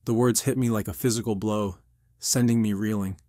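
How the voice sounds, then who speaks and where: Man acting a low, anguished restaurant boss narrating. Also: steady tone, fairly quick, dark tone, dry recording, studio quality, close to an everyday voice. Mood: stricken, tense. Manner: intense, earnest, literary storytelling. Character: anguished army veteran restaurant boss, narrating his own story. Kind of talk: dramatic first-person scripted narration.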